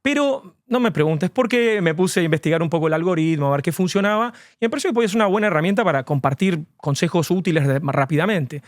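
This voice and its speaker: Man, lawyer guest, earnest explainer, higher voice, dry close sound. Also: expressive, fast, few pauses, dry recording. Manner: earnest, explanatory, with frequent fillers. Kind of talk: conversational interview answers.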